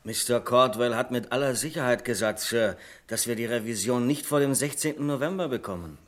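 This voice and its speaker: A man's voice: mid-range voice, close to an everyday voice. Casting: male actor as level-headed bank employee, courteous but persistent, mid-range voice, radio play style. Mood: measured, insistent. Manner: polite, measured, insistent. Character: level-headed, deferential male bank clerk, quietly scheming. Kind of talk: German radio play acting.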